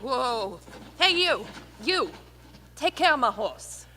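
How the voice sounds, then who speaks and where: Fierce high-voiced outlaw boss lady, acted by a woman. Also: big pitch swings, takes it slowly, a little hiss or hum, full character voice. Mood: commanding, angry. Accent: American. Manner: sharp, bossy, interrogating. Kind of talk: Western radio play acting. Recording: live stage hall, long reverb.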